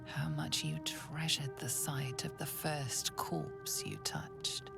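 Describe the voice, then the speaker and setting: A woman playing an ominous game narrator who speaks in a hushed, creaky, deliberate whisper with menace. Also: a bass voice, very animated, full character voice. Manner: slow, deliberate, menacing storytelling. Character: hushed, ominous female narrator of dark inner urges. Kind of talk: dramatic second-person game narration. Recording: game audio under prominent music.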